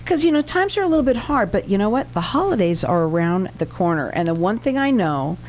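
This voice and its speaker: A woman's voice: medium pitch, sing-song, a little fast, darkish tone, a little hiss or hum. A woman hosting a radio interview in a studio, chatty and enthusiastic, running on in casual talk. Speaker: radio talk show host.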